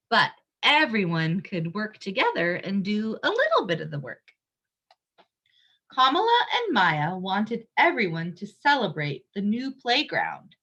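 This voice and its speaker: Expressive woman reading to children, slow and bright. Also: medium pitch, muffled recording. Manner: expressive, simple explaining to young children. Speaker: read-aloud storyteller for young children. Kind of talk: picture-book read-aloud with explanatory asides.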